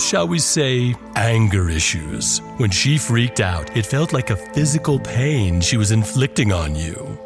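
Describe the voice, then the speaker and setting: A man narrating a scripted horror story, with dramatic pauses and drawn-out phrases. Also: lowish voice.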